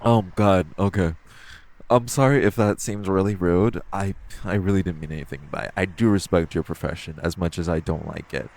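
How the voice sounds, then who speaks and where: A man's voice: low-pitched, moderate pace, a little mellow. A man playing a flirty, cocky supervillain thief in an audio roleplay, teasing the listener with mock politeness. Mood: giggly, apologetic. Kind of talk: theatrical audio roleplay, one-sided dialogue to the listener.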